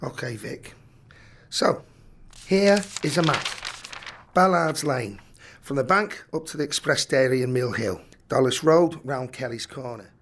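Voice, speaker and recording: A man playing a meticulous bank-robbery planner in a comedy radio play, husky and methodical. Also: medium pitch, animated, a bit bright, a little hiss or hum. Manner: methodical, brisk briefing.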